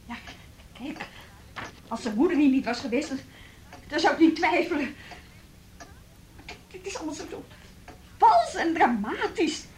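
An actress playing a proud, wounded young woman in a radio drama, speaking haltingly and with indignant emphasis. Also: high voice, sing-song. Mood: indignant, uneasy. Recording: noisy radio play recording.

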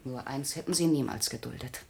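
Young female radio-drama role: deep for a woman, terse, restrained, loyal and proud. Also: brisk, gravelly, a little mellow. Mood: subdued, guarded. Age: young adult. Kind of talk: German radio crime drama acting.

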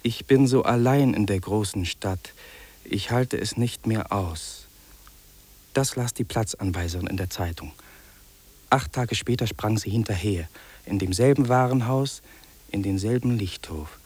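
A lonely, jobless young dreamer in a 1950s radio play. His voice is low, dark and husky, anguished and earnest as he confides his despair. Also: measured pace. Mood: sombre, confiding.

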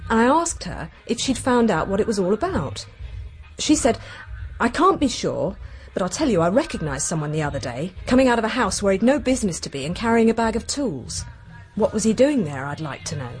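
A woman's voice: mid-range voice, sing-song, fairly quick, faint music behind, natural voice, low-quality recording. An actress playing a chatty, earnest woman witness telling her story in a radio drama. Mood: earnest, recounting.

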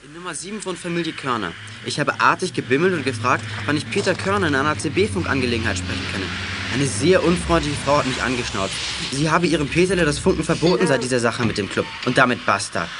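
Man acting a fast-talking teenage boy detective. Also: medium pitch, expressive, background noise, close to an everyday voice. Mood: indignant. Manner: wordy, mock-formal reporting. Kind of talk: 1980s German children's audio drama acting.

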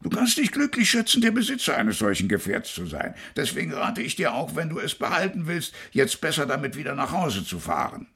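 An actor playing a stern father who also appears as a shopkeeper in a radio play, speaking slowly and coolly. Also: fairly high pitch, huge pitch swings, vocal fry, a bit bright, slightly rough, full character voice. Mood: measured. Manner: slow, measured and cool.

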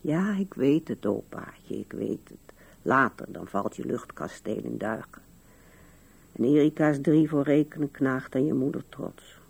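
A woman's voice: rumbling low, animated, measured pace, a little mellow, full character voice. An older actress playing an old widow in a radio drama, musing to herself with resignation at her husband's grave. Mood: resigned, musing. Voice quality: husky, creaky. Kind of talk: 1970s radio drama monologue.

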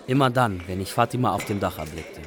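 A man's voice: lowish voice, lively intonation, fast, over music, close to an everyday voice. An actor playing a wry Iraqi refugee who tells of his youth in matter-of-fact radio drama narration. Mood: wry, reflective.

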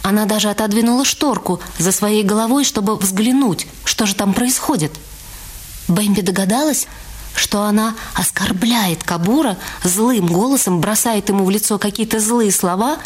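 A woman's voice: mid-range voice, moderate pace, darkish tone, breathy edge. A woman reading scripted literary narration for a dramatised radio production. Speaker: narrator and reader in a radio play. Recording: music under the voice. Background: music.

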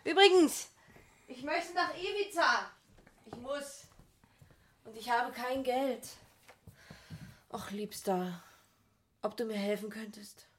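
An actress playing a brisk, coaxing and calculating woman, a returning former mistress in a radio play. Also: fairly high pitch, slight background noise. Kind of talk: German radio play acting.

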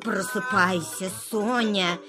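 A woman's voice: medium pitch, steady tone, takes it slowly, close to an everyday voice. A woman voicing a busy, helpful little female bee, coaxing in an urgent way. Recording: music beneath the voice.

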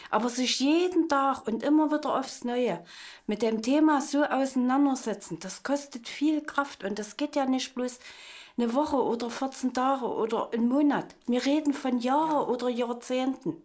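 A woman's voice: sits high, moderate pace, a little mellow. A middle-aged woman giving a spontaneous personal account as an interviewee, earnest and insistent.

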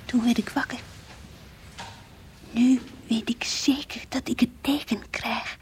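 An actress playing an earnest, devout, brooding schoolgirl in a radio drama, confiding intensely in a husky, breathy voice. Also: on the high side, fairly even intonation, slow pace, whispered. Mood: hushed, awed. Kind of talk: radio drama acting with diary-like narration.

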